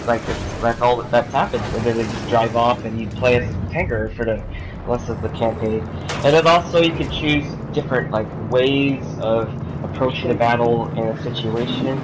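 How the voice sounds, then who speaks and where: A man's voice: medium pitch, very noisy. A young man with a cold, rambling through a casual gaming commentary with lots of fillers and restarts. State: has a cold. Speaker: gaming YouTuber. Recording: game sounds behind voice.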